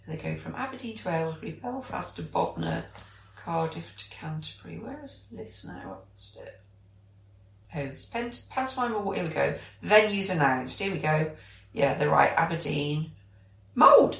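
A woman's voice: sits low, lively intonation, measured pace, gravelly, a bit bright, a little hiss or hum. A British woman co-hosting a podcast, chatting casually and enthusiastically. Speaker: podcast co-host. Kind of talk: casual chat. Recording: echoey room.

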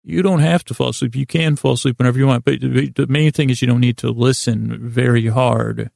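Gravelly, creaky-voiced man rambling at bedtime. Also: mid-range voice, fairly even intonation, brisk, darkish tone, no room sound, clean studio sound. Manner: meandering, digressive, self-deprecating, mildly silly. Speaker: podcast host.

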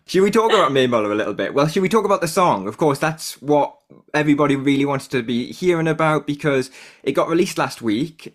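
Geordie man hosting a podcast. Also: mid-range voice. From Northeast England. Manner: chatty, laughs often, many fillers. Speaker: podcast host. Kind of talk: casual chat and interviewing.

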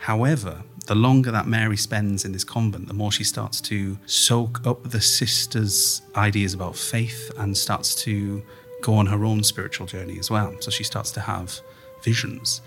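A man's voice: fairly low pitch, fairly even intonation, moderate pace, fairly bright tone, soft background music. A man, a playwright in an interview, explaining things in a conversational storytelling way.

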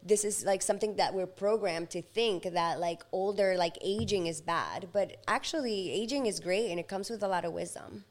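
Reflective woman in her thirties. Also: mid-range voice. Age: 30s. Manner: reflective, slow and thoughtful at times. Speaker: podcast guest. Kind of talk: casual interview chat.

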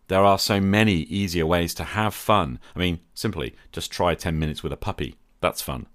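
A middle-aged man hosting a podcast, delivering a reflective scripted monologue in a measured, earnest way with rhetorical questions. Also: deep voice, no room sound, clean studio sound. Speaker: podcast host.

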